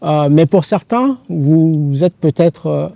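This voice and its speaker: Mellow higher-pitched male host. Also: animated, gravelly, no room sound, lo-fi sound. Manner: polite, halting, formal address. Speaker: interview host. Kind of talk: unscripted event hosting.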